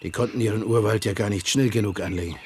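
A man's voice: lowish voice, fast, a little mellow, close to an everyday voice. A man playing a jaded, sardonic tour guide in a radio play, with an offhand, grumbling manner. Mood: weary, sardonic. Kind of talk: naturalistic radio play acting. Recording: very noisy outdoor ambience with birds.

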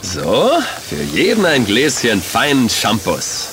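Cheeky excitable buddy, very lively pitch, casual, audio drama, slight background noise. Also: male voice, takes it slowly. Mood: excited, cheeky. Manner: slangy, casual. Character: easygoing, excitable male sidekick, casual and cheeky. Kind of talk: German audio drama acting.

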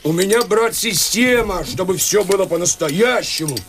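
A man's voice: sits high, expressive, moderate pace, fairly bright tone, a little hiss or hum. An actor playing a boisterous, bragging middle-aged country father in a radio play, talkative and insistent, full of exclamations. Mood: hearty, insistent. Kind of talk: Russian radio play acting.

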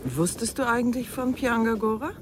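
An actress playing a playful, probing middle-aged newlywed wife in a radio drama, with a teasing, inquisitive manner. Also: female voice, on the high side, a little fast, noisy background, close to an everyday voice. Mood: light, inquisitive.

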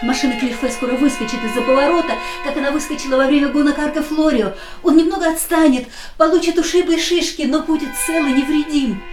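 A woman's voice: high-pitched, quick pace, few pauses, lightly acted. A woman playing a distraught young woman desperate for her lover, impassioned and pleading, in an emotional radio play. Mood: disbelieving. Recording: echoey radio drama with music behind.

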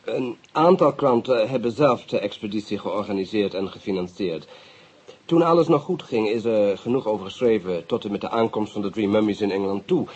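An actor playing a polite, reserved British police inspector in a radio drama, with an English accent, a husky voice and a hesitant, guarded manner. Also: male voice, on the low side, fairly quick, darkish tone, highs cut off. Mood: matter-of-fact.

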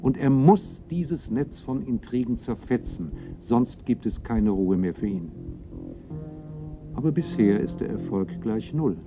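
A man narrates a radio drama with wry, measured delivery. His voice has a middle pitch and sits over faint music in a slightly dull recording. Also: expressive. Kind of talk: scripted radio play narration.

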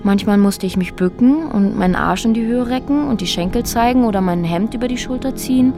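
An actress playing a woman who recounts a strange, disturbing ordeal in a reflective, halting, matter-of-fact radio drama monologue. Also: on the high side, natural voice. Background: music under the voice.

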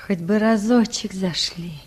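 An actress playing a meek, lovelorn peasant wife of about thirty in a radio drama, with timid, plaintive, folksy speech. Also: female voice, mid-range voice, a little slow, darkish tone, slightly rough. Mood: pleading, wistful. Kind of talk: Russian radio drama acting.

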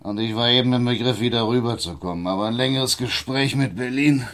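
An actor playing a confident town mayor, affable and self-assured, in a radio drama. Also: male voice, medium pitch, fairly quick, fairly bright tone, lightly acted. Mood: serious. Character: confident town mayor, middle-aged man.

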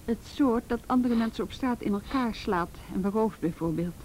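A woman's voice: a little high, normal speed, darkish tone, a little hiss or hum, lightly acted, low-quality recording. A woman acting a firm, businesslike juvenile police officer in a Dutch radio play. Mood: stern, probing.

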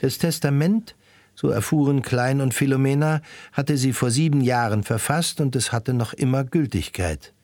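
Narrator, male, calm and even, dry close sound. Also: mid-range voice, moderate pace, no echo. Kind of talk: scripted narration.

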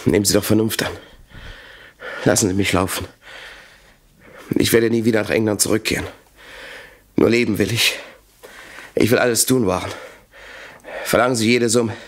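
A man playing a desperate captive lawyer in a radio play, pleading for his life in a halting, repetitive way. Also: on the low side, steady tone, lightly acted. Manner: pleading, halting, repeating himself. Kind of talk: German radio play acting.

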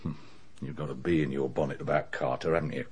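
A sceptical colleague in a radio drama. His voice is very low and husky, and he probes in a dry, conversational manner. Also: steady tone, quick pace, exaggerated voice. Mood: sceptical, probing.

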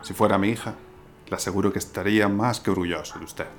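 A man playing a kindly, fatherly army officer in a historical audio drama, formal and gentle. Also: on the low side, fast, faint music behind, close to an everyday voice. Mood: paternal concern.

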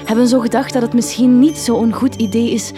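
Comic radio drama: sugary, strained Flemish village teacher played by a woman, highish pitch, music bed. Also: steady tone, a little fast, close to an everyday voice. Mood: sugary, apologetic.

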